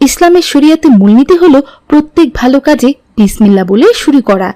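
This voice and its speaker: Woman playing a measured, high-voiced devout mother. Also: lively intonation, dark tone. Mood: gentle, instructive. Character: devout, gentle mother guiding her children. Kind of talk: Islamic cartoon dialogue.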